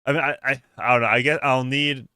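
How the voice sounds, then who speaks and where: A man hosting a casual livestream, reacting aloud in a halting way, repeating words and breaking off mid-sentence. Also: mid-range voice, fairly even intonation, pushed and bright, clear, resonant tone, dry recording, clean studio sound. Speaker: livestream host. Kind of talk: casual reaction stream commentary.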